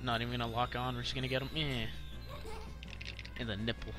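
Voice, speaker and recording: A young adult man commentating casually on a video game for a live chat, slangy, profane and excitable, often repeating himself. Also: mid-range voice, fairly quick, over music. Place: live game stream with game music behind the voice.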